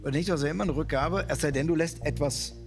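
A man's voice: fairly high pitch, quick pace, faint music behind. A man competing on a quiz show, chatting and reasoning through an answer with his partner. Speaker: quiz contestant. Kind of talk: casual chat.

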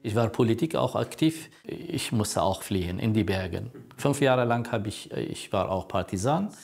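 A man in his sixties, a native Kurdish speaker from Iraq, who talks in halting, earnest German while being interviewed as a refugee counselor. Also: fairly low pitch, expressive, gravelly, a little mellow. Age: 60s. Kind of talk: documentary interview.

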